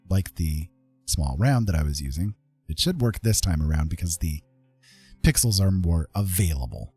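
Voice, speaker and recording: Low, mellow, husky man giving a tutorial. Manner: easygoing, informal, tags points with check-in questions. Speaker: digital art streamer and instructor. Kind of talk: casual live tutorial talk.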